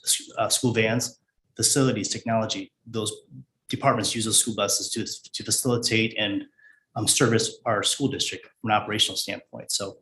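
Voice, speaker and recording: A man speaking as a school district finance official at a public meeting, in a measured, formal administrative way with hesitant pauses. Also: lowish voice, fairly bright tone. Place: indoor board meeting room. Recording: echoey meeting room with background noise.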